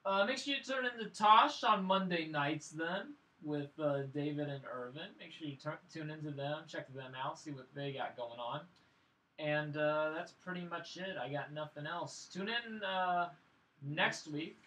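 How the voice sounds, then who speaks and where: A man hosting a film podcast in a loose, informal, slightly halting way. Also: a little high, bright, forceful tone, poor audio. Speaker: film review podcast host. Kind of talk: casual, loosely improvised hosting. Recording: faint background noise.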